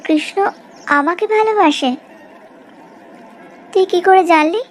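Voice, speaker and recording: Woman acting an earnest young cartoon heroine, very high-pitched. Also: measured pace, a little hiss or hum. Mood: bewildered. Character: earnest, self-sacrificing rich young woman. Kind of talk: cartoon melodrama dialogue.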